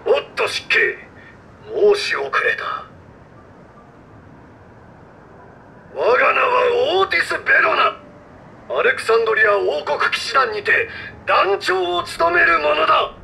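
Old robot knight for a game, pompous and theatrical, creaky, swoops all over. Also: male voice, extremely high pitch, big pitch swings, a little slow, pushed and bright, slight background noise, exaggerated voice. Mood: proudly introducing himself. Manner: pompous, theatrical, archaic courtly speech. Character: grandiose, courteous old robot knight captain. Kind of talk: video game cutscene acting.

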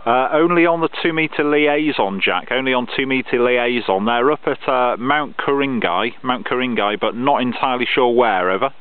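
A man's voice: mid-range voice, moderate pace, hardly any pauses. A male amateur radio operator chatting over a contact outdoors, reading out call signs in a procedural way. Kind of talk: ham radio contact chat. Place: outdoors on open grassy hilltop. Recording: narrow phone-like sound with radio noise.

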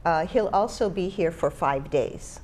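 A woman's voice: fairly low pitch, animated, measured pace, a little mellow, a little hiss or hum. An older woman talks casually as a guest on a studio panel. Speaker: panel guest, event organizer. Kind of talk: casual studio interview talk. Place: small indoor TV studio.

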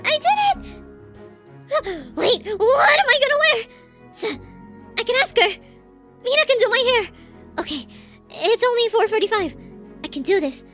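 A woman voicing a shy, flustered teenage girl with a crush in an anime roleplay audio drama, stammering, hesitant and excitable. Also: very high-pitched, normal speed, long pauses, soft background music, heavily acted. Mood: excited panic.

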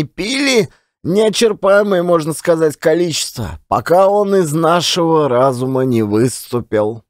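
A man's voice: high-pitched, dry recording, clean studio sound. An actor playing a garrulous, folksy provincial Russian man telling rueful yarns in colloquial, theatrical style for a dramatized audiobook. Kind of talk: dramatized audiobook storytelling, monologue.

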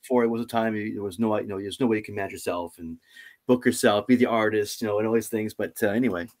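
A man's voice: lowish voice, fast talker, darkish tone. A middle-aged man hosting a casual music interview over a video call, effusive and jovial. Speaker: host of music interview show.

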